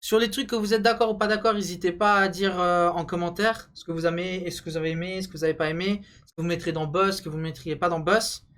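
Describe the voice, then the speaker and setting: A man's voice: high voice, fairly even intonation, fast, a bit bright. A young man casually hosting a video, bantering and ranking things in a slangy, opinionated, emphatic way. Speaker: video host. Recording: music behind the voice. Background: music.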